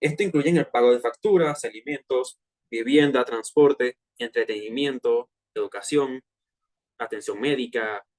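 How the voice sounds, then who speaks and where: A man in his twenties with a Venezuelan accent, presenting a personal finance workshop to peers in a relaxed, chatty way with frequent hesitations. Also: medium pitch, unhurried. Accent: Venezuelan Spanish. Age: 20s. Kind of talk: casual online presentation to peers.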